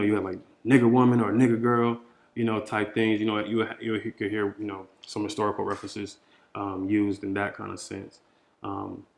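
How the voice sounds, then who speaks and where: A man with a slightly low voice gives a thoughtful, informal answer as a speaker at a question-and-answer session. He feels his way haltingly through each idea, circling back to repeat phrases for emphasis. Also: gravelly. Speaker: poet and speaker answering questions after a reading.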